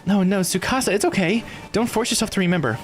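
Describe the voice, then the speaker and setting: Man voicing a composed young woman, light and high. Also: fast talker, faint music behind, lightly acted. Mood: reassuring. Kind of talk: video game dialogue read aloud.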